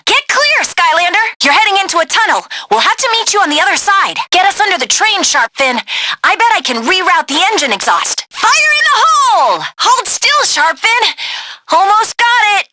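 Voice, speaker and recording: A woman's voice: very high-pitched, pushed and bright, slight background noise, heavily acted. A woman playing a brave, caring young woman who guides heroes through battle in a video game, urging and encouraging them as she gives directions. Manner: urgent, encouraging mission directions. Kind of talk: video game character voice lines.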